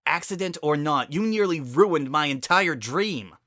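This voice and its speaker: A man voicing a furious, bitter female pegasus pony, accusing her sister in forceful outbursts, in a dramatic story reading. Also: on the high side, expressive, a little slow, dry recording, clean studio sound, pitched above the performer's own voice. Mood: angry accusing.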